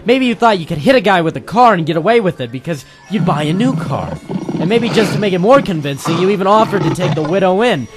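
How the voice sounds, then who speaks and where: A man's voice: high voice, expressive, fast. A man playing a weary, wry private detective, narrating and talking tersely in a noir radio drama. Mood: accusing. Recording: music playing behind the voice.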